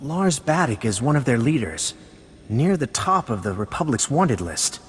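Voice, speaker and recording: A man's voice: mid-range voice, normal speed, in a large hall, a little hiss or hum, lightly acted. An actor playing an earnest, concerned male Jedi master who gives advice in a video game. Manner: earnest, expressive.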